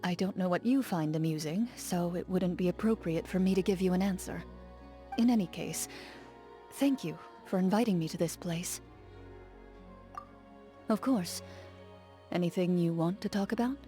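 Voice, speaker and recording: A composed young woman duelist, voiced by an actress for a game, calm and formal with a guarded reserve. Her voice sits a little low, with a dark, mellow tone. Soft music plays underneath. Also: normal speed, long pauses, lightly acted. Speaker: game character. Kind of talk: game character voice lines.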